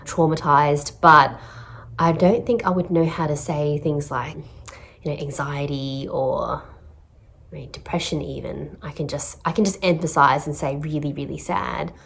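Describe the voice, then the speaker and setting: A woman, a therapist speaking in a reflective, measured vlog voice-over with pauses and fillers. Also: sits low, fairly even intonation, a bit bright, a little hiss or hum. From Australia. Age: 30s. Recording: voice-over with clear music behind.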